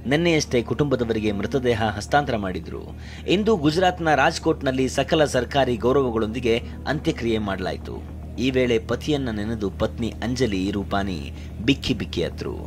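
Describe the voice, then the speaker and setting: Man, news voice-over, mid pitch, scripted narration, loud background music. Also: animated, moderate pace. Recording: loud music bed behind voice. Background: prominent news background music.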